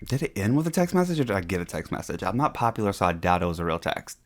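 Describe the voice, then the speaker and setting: A man's voice: lowish voice, very animated, very fast, a little hiss or hum. A man hosting a casual music reaction, rambling and hedging his opinions with small laughs. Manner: chatty, rambling, hedges often with laughs.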